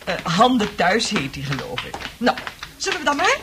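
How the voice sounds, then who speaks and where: A woman's voice: mid-range voice, wildly swooping pitch, moderate pace. An actress playing a fussy, apologetic female nurse in a radio drama, hesitant and polite as she coaxes her charges along. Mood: coaxing. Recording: noisy old radio play recording.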